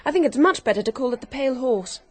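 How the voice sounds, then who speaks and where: Cheeky young english woman in a radio drama, friendly, really high lively voice. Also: fast. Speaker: actor in a radio mystery.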